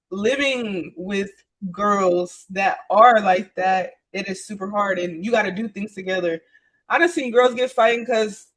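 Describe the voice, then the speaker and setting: A woman's voice: medium pitch, a bit bright, low-quality recording. A woman in her twenties telling stories casually and candidly as an interview guest, emphatic and slangy, repeating phrases for emphasis. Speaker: interview guest, trade program graduate.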